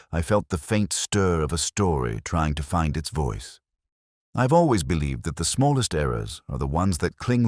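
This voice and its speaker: A man reading a scripted first-person detective story in a measured, brooding, reflective manner. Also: a bass voice, no room sound, clean studio sound. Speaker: narrator.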